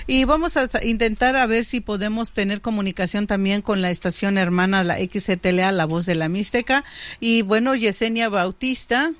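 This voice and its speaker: A woman hosts a community radio show, chatting easily with listeners and her guest. She talks in a friendly, loose way, full of fillers and asides, at a middle pitch. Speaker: radio host.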